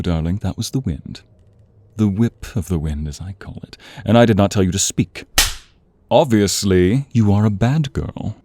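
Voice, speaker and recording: A man voicing a seductive, domineering vampire in an ASMR roleplay, teasing and commanding in a breathy voice that often drops to a whisper. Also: low voice, warm tone, dry recording, full character voice. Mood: stern, commanding. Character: sinister, seductive male vampire, domineering and predatory master. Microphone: close, dry studio microphone.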